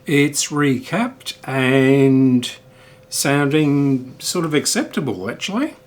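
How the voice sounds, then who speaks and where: A man's voice: medium pitch, lively intonation, slow pace. A middle-aged man giving casual repair commentary as a hobbyist host, thinking aloud in a hesitant, musing way.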